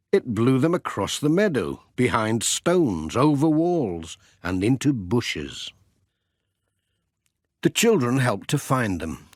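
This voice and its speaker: A man reads a children's audiobook unhurriedly. His storytelling is highly animated and expressive, recorded dry and close. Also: dry recording. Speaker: audiobook narrator.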